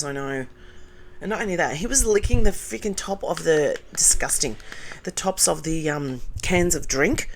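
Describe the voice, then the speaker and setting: Croaky, nasal Australian woman. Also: sits low, slight background noise. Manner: blunt and sarcastic, with asides. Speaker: commentary vlogger. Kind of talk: casual commentary reading comments.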